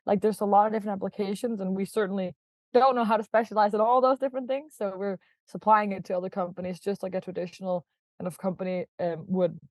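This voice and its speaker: A woman's voice: mid-range voice, fairly even intonation, quick pace, a little mellow, no room sound. A woman from Denmark, a startup founder, chatting as a podcast guest, laughing often and filling her talk with "like" and "kind of". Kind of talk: casual podcast interview.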